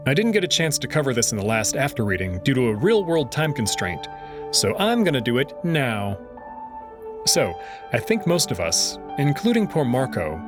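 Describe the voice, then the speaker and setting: A man narrating a first-person visual novel story with expressive engagement, then giving his own commentary, over music. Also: mid-range voice. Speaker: visual novel reader and host.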